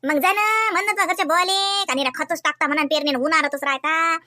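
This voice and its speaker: A woman voicing gossipy, excitable village housewives in a comedy cartoon, exclaiming and teasing in rustic Varhadi Marathi. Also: very high-pitched, fast. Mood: astonished, mocking. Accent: Varhadi Marathi from Vidarbha. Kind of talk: colloquial comic cartoon dialogue.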